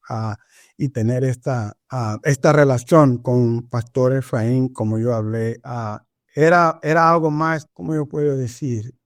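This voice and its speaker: A man from Angola whose first language is Portuguese, a pastor telling his life story in a podcast interview, halting and searching for words with many fillers. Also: medium pitch, no echo, studio quality. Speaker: pastor, guest.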